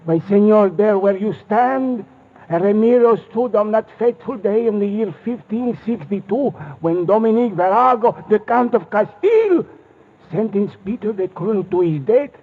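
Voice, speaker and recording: High-voiced old Spanish castle servant. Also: male voice, animated, moderate pace. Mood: proud, solemn. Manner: formal, deferential, storytelling with dramatic relish. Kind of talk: 1950s radio mystery acting. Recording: noisy, tinny 1950s radio recording.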